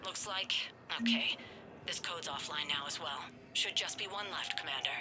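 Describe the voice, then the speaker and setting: A man's voice: on the high side, moderate pace, close to an everyday voice. An actor playing a businesslike male military aide in a sci-fi game, reporting in an understated, matter-of-fact way. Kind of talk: video game voice acting. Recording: clear ambient noise.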